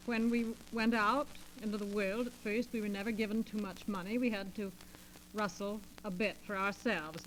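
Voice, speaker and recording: A middle-aged American woman talking conversationally as a radio guest, with measured, deliberate phrasing. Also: medium pitch. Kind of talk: conversational storytelling and discussion. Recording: noisy, humming 1940s transcription disc.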